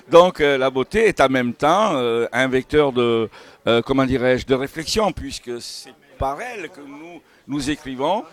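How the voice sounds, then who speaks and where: Halting male novelist in conversation. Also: medium pitch. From Italian family background. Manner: halting, searching for words, digressive. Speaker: novelist presenting his books. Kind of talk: unscripted interview about own novels. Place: book festival.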